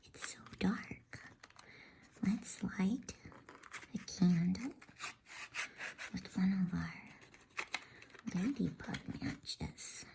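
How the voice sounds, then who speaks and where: A woman whispering softly in a gentle, unhurried, hushed ASMR style as she hosts and reads a bedtime story. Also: medium pitch. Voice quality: breathy, soft whisper. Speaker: host and reader of a bedtime story channel.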